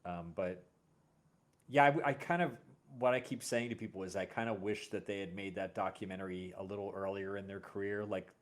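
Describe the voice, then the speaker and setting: A man's voice: low voice, fairly even intonation, fairly quick. A man hosting a casual conversation about music, talking in a rambling, self-correcting way. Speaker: host.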